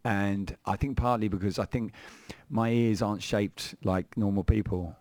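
Chatty radio host, dry sound. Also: male voice, fairly low pitch, fairly even intonation, normal speed, a little mellow, dry recording. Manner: chatty and playful, rambling asides. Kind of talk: casual radio chat. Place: radio studio. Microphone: studio microphone.

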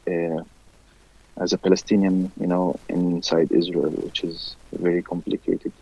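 Deep flat-voiced man, Arabic native. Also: vocal fry, slightly rough, muffled recording. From village near Haifa, raised there from first grade. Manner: halting, many fillers and restarts. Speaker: musician guest. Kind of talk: interview.

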